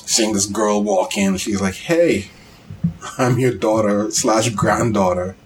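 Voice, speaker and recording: A man's voice: on the low side, slow pace, a little hiss or hum, rough recording. A man co-hosting a casual podcast, joking and acting out mock quotes as he talks. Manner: animated, jokey, acts out mock quotes. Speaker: podcast co-host. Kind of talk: casual chat.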